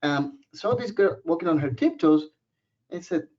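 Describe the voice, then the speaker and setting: A man's voice: a little high, lively intonation, moderate pace. A man from Puerto Rico with a Puerto Rican Spanish accent, giving a webinar presentation in a joking, personal storytelling way. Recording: muffled lo-fi webinar audio.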